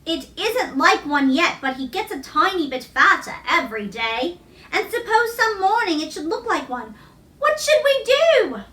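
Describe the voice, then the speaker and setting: An imaginative young girl as a woman reader plays her confides with playful fretting. It is a high, bright storybook voice, recorded a little far off in a roomy space. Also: very animated, measured pace, heavily acted. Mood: amused worry. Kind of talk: children's storybook read-aloud. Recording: roomy echo, distant microphone.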